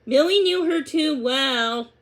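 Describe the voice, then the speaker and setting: High-voiced woman reading a children's story. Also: takes it slowly. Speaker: storybook reader. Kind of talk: expressive children's read-aloud narration.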